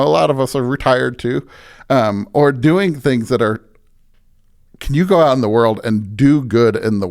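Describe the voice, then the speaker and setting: Man, pastor, lower-pitched voice, reflective podcast conversation, halting phrasing, frequent check-ins. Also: vocal fry. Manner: halting, restarts thoughts, often checks in with "right?".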